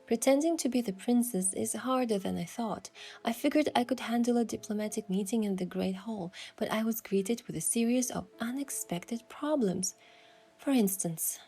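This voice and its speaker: A woman's voice: on the high side, moderate pace, dark tone, slightly rough, faint music behind. A woman giving scripted first-person narration of an adventure story as its protagonist.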